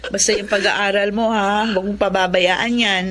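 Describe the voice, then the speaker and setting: Female actor as caring Filipino older sister, medium pitch, calm persuasive radio drama delivery. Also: moderate pace, slight background noise, close to an everyday voice. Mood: playful, caring. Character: supportive, sensible older sister, young woman.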